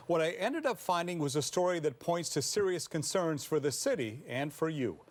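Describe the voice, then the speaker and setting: A man's voice: fairly high pitch, expressive, moderate pace, no echo. An American man working as a TV news reporter, reading scripted narration.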